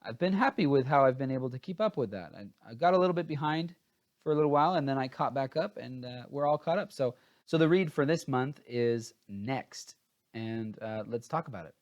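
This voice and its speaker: A man's voice: medium pitch, lively intonation, brisk, dull audio. A man chatting casually as he hosts a book review, informal and a bit scattered and hesitant, sounding tired.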